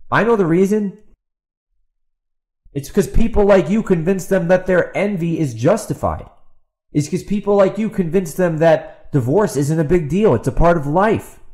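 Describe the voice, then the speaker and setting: A man's voice: high-pitched, expressive, moderate pace, darkish tone. Exasperated man ranting solo. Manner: exasperated, sarcastic, emphatic repetition; rhetorical questions put to an absent opponent. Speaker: podcast host and author. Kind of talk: ranting opinion monologue, off the cuff.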